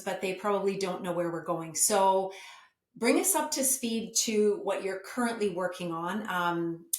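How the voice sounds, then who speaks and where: A woman with a mid-range voice hosts an interview. She asks questions and encourages her guests with frequent murmurs. Her voice is heard in an echoey room. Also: medium pitch. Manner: encouraging, many backchannel murmurs. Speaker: podcast host. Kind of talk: interview host.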